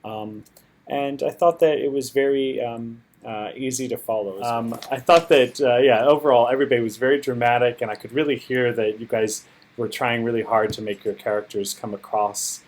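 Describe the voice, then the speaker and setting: A man with a medium-pitched voice gives off-the-cuff feedback as a competition judge. He is a native English speaker, encouraging but hesitant, circling through his points in a rambling way. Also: steady tone, moderate pace, slight background noise. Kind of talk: unscripted spoken feedback.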